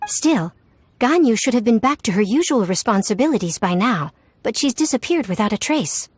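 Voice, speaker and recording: Female game secretary, slightly high-pitched, polite, formal, overworked. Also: very animated, moderate pace, breathy edge, no room sound. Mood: explanatory. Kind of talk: video game voice acting.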